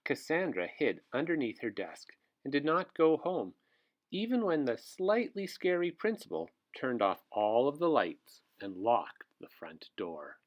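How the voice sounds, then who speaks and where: Expressive man reading a children's storybook. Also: mid-range voice, a little slow, dull audio. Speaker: kindergarten teacher reading a picture book aloud. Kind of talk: children's storybook read-aloud with asides to listeners.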